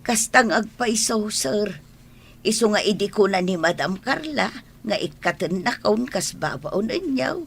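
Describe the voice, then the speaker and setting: A woman acting a humble, aggrieved elderly mother seeking work as a maid in a radio drama, with a husky voice. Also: mid-range voice, animated, measured pace, heavily acted. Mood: plaintive.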